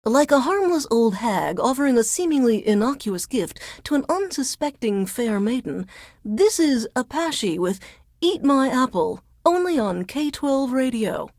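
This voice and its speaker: A woman's voice: high voice, sing-song, measured pace, a little mellow, no echo, clean studio sound, full character voice. An actress playing a resentful female news reporter forced to work as a radio DJ in a video game, with a formal, dramatic, sardonic newscaster delivery. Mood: theatrical, announcing. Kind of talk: scripted radio DJ links in newsreader delivery.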